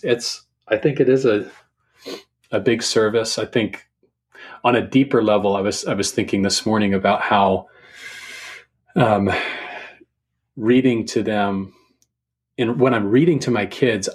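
Low husky thoughtful man. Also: measured pace, long pauses. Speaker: podcast guest, writer. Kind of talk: casual reflective podcast conversation.

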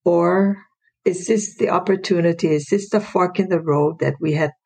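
An older woman from Hawaii, in her sixties or beyond, telling a personal story unscripted as an interview guest, her voice gravelly and husky. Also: lowish voice, normal speed, darkish tone. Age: 60s or older. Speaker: interview guest, retired educator.

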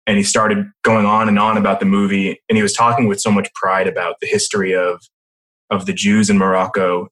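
A man hosts a podcast with a clear, measured delivery. His voice is very low, and he moves from a read introduction to relaxed interview questions. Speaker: podcast host. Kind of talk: scripted intro, then casual interview.

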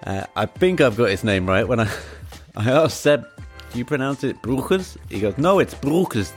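A man's voice: mid-range voice, expressive, moderate pace. Chatty male host over faint music. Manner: chatty, joking. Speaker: podcast host. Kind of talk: scripted show intro.

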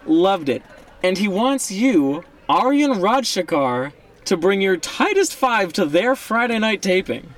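An excitable, foul-mouthed showbiz manager acted by a man in a radio play, pitched high and swinging widely. He gushes with emphatic, salesman-like zeal and sits a bit away from the mic. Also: unhurried, distant mic. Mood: excited, boasting. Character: hyped, fast-talking, foul-mouthed male comedy manager buddy. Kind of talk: audio drama acting.

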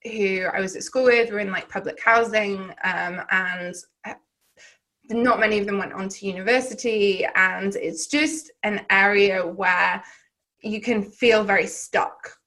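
A woman with a Southern English accent speaks at a middling pitch as a podcast guest. She tells personal stories in a rambling, reflective way, full of restarts and filler words. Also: fairly even intonation, measured pace, sharp tone. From Wales. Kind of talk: candid personal storytelling in conversation.

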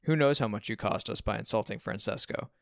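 A man voicing an unemotional, logical businessman father in an audiobook, calm, dry and matter-of-fact. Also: lowish voice, a little fast, vocal fry, no room sound. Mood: unmoved. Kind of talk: audiobook character dialogue.

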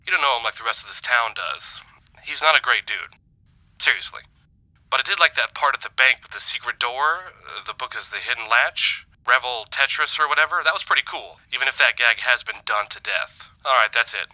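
Laid-back male listener, grudging, slangy, piercingly bright, dry voicemail, audio drama. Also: mid-range voice, animated, a little fast, no room sound, poor audio. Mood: grudging, confiding. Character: grudging, casual male podcast listener calling in.

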